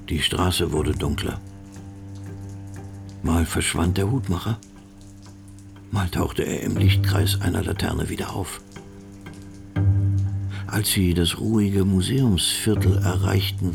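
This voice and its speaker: A man narrating a radio crime drama as a grave storyteller, measured and suspenseful. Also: low-pitched, vocal fry, full character voice.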